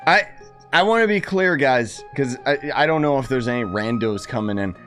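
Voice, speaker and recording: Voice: mid-range voice, lively intonation, moderate pace, a bit bright. A streamer giving casual reaction commentary over a game, impatient, sarcastic and complaining. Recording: game music behind the voice.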